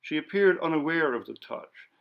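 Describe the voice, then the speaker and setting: A man narrating a literary ghost story as an audiobook, in a measured, dramatic manner. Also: a little high, animated, creaky, highs cut off.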